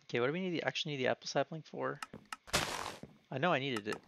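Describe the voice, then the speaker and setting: Gravelly young man on a dull mic. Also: mid-range voice. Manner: quick, practical, directing the teammate. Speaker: speedrunner. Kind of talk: casual gaming chat with teammate. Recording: slightly dull mic with faint noise.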